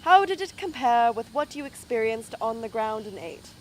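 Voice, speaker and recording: A woman's voice: a little high, sing-song, normal speed, low-quality recording. A woman playing a persistent film crew director in an amateur radio drama, coaxing and encouraging. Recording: faint background ambience noise.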